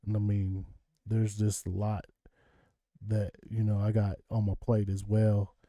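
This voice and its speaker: A man's voice: on the low side, completely flat delivery, measured pace, warm tone, no room sound, studio quality. A man with a Southern US accent, rambling casually to his viewers in a monologue and chuckling often. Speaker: vlogger.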